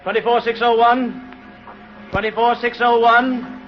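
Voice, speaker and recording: An actor playing a stern prison guard, calling out in loud, clipped barks in an old radio drama. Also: male voice, extremely high pitch, little pitch movement, a little slow, soft background music. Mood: barking, urgent. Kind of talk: 1930s radio drama acting. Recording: dull old radio recording.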